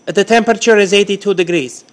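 A man playing a confused foreign caller who speaks in halting, broken English and keeps repeating himself on a prank phone call. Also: sits high, close to an everyday voice. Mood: excited.

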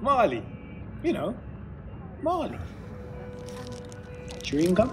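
An actor playing a chatty, over-friendly airline passenger in a modern audio drama. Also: male voice, high-pitched, wildly swooping pitch, slow, music in the background, exaggerated voice. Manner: chatty, familiar.

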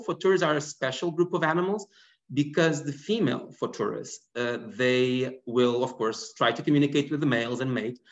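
A man in his thirties from Portugal, answering lecture questions over a video call with lively, animated explanations. His voice sits at a middle pitch and comes through a laptop mic. The audio is somewhat dull and lo-fi. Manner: animated, gesturing while explaining. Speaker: guest biologist. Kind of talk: online lecture Q&A. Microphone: laptop webcam mic on video call.

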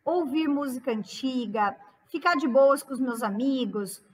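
A woman's voice: high-pitched, unhurried, breathy edge. A woman in her thirties with a Brazilian accent, giving a chatty, informal English lesson as an online teacher, translating line by line and repeating phrases for emphasis. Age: 30s. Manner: chatty, instructive, emphatic repetition.

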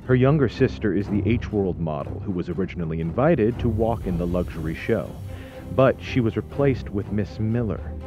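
A man's voice: on the low side, expressive, moderate pace, music in the background, lightly acted. An actor playing a businesslike male assistant giving a factual report in an audio drama, with slightly dull sound. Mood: matter-of-fact.

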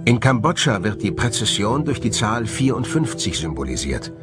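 A man delivers scripted documentary narration with lively, wide-moving intonation. His delivery is measured and explanatory, and music plays clearly underneath his voice. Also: fairly low pitch.

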